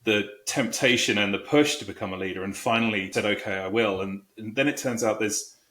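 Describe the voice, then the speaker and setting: A man's voice: lowish voice, brisk, a bit bright, roomy sound, poor audio. A middle-aged man talking as an interview guest, reflective and self-deprecating about his career. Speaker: guest, people and talent executive. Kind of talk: conversational interview.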